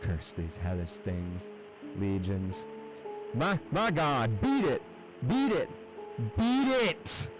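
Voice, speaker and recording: A man puts on a frightened young man trapped below ground, his voice pushed up high and thin. He pleads in hushed, strained tones over soft music. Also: expressive, slow, low-quality recording. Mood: shrieking.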